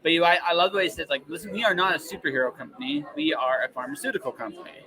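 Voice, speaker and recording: Emphatic higher-voiced man chatting, voices behind. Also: lively intonation, racing, a crowd in the background, poor audio. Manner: emphatic, repeats words and phrases. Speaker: podcast host. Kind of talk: casual interview chat.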